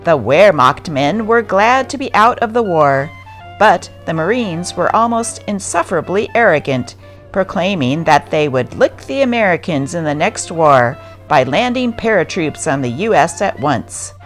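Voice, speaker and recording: A woman reads old newspaper stories aloud as a narrator. Her voice sits a little low and moves up and down with lively intonation, with music playing behind her. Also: measured pace. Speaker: host reading old newspaper articles. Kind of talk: scripted narration, reading news aloud.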